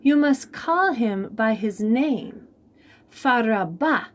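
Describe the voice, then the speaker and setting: A woman's voice: on the high side, animated, slow pace. A woman voicing a sweet, kindly little male chameleon in a children's storybook read-aloud. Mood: gently explaining.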